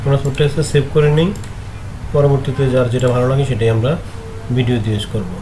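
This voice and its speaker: A man presenting a screen-recorded software tutorial, talking in a halting way as he thinks aloud through each step. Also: mid-range voice, steady tone. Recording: noticeable background noise.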